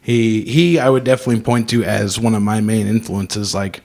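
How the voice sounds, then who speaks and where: A man's voice: on the low side, fairly even intonation, normal speed, gravelly, a little mellow. A man of about thirty interviewing guests on the radio, thoughtful and agreeable, often affirming. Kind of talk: casual interview.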